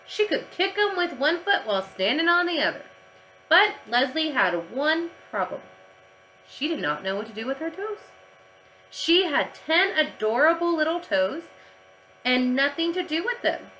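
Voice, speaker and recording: A woman's voice: sits high, sharp tone, a little hiss or hum, low-quality recording. A woman reading a children's storybook aloud like a teacher to pupils. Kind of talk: animated children's storybook narration.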